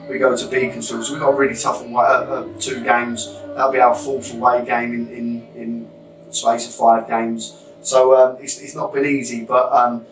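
Man with an echoey, flat delivery. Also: medium pitch. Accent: London English. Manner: measured, reflective, many fillers and restarts. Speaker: football manager. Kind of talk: post-match interview. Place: small changing room. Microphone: camera mic at a few metres. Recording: echoey small room, distant mic.

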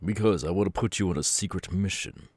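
A man playing a stern, gruff middle-aged superhero mentor and father in an ASMR audio roleplay, with a gravelly, husky voice and a measured, grave manner. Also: deep voice, darkish tone, dry recording. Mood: serious, wary. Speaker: voice actor.

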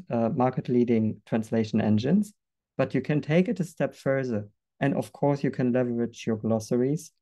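Guy walking through a product pitch on a webinar call, warm mellow tone, lots of ums and restarts. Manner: earnest, with frequent fillers and restarts. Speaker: product presenter.

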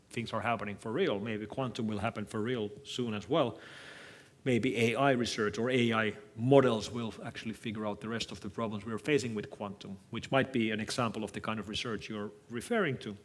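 Finnish man giving a keynote talk. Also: fairly low pitch. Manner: measured, thoughtful, frequent restarts. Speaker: keynote speaker. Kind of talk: conference keynote with audience Q&A. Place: large lecture hall on stage. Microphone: headset mic.

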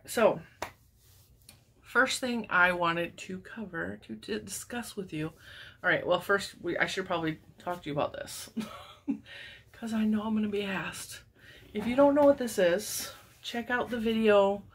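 A woman in her forties hosting her crochet channel in casual chat, wheezy and congested, talking with lots of laughs. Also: mid-range voice. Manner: chatty, laughs often, animated hand gestures. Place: home craft room lined with yarn shelves.

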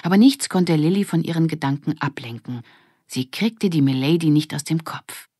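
A woman's voice: deep voice, normal speed, mellow, husky, no room sound, studio quality. A woman reading a scripted children's story as an audiobook narrator.

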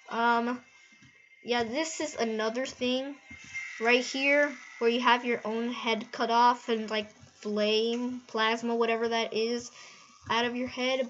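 A woman's voice: on the high side, fairly bright tone, highs cut off. A woman giving casual, rambling, easily amazed gameplay commentary and giggling as she goes. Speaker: gaming video host.

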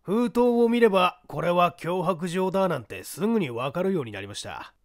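A man's voice: high voice, animated, normal speed, voice pushed up. A man voicing an attentive, deferential office clerk with an eager, respectful manner in an audiobook. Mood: dutiful.

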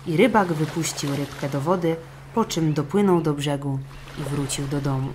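A woman with a low voice narrates a children's fairy tale. She reads expressively as an audiobook storyteller. There is a little noise behind her. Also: normal speed, a little mellow.

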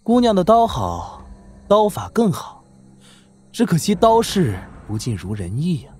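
A man's voice: high-pitched, huge pitch swings, measured pace, a little mellow, slightly rough, exaggerated voice. A man playing a vain young swordsman in a Chinese wuxia audio drama, solemnly smug, with pompous, flowery phrasing. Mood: pompous, flattering.

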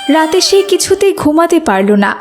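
Casting: female narrator for a kids' cartoon tale, high voice, scripted storytelling. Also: very animated, moderate pace, loud music behind the voice.

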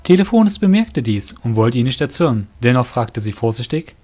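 A man's voice: mid-range voice, big pitch swings, fairly quick, a little mellow. Male audio drama narrator over music. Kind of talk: scripted fantasy narration. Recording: music under the voice.

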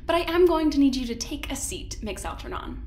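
A woman's voice: sits high, big pitch swings, fairly quick, a little mellow. A woman playing an imperious research director in an audio drama, condescending, theatrically polite and coldly menacing. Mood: sweetly condescending. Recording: roomy, noisy background ambience.

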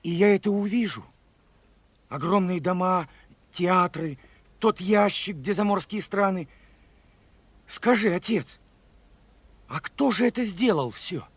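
A young soldier son in a Soviet radio play. His voice is very high for a man and closely recorded, without room sound. He comes across as eager, naive and full of wonder, with plain folksy speech. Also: expressive, unhurried, pauses often, husky, no echo, full character voice.

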